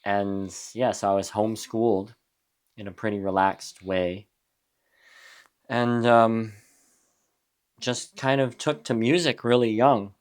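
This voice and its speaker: Young adult male guest, very low voice, reflective and halting. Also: fairly even intonation. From USA (New Mexico). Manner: reflective, halting, frequent restarts. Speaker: guest musician. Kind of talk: casual interview chat.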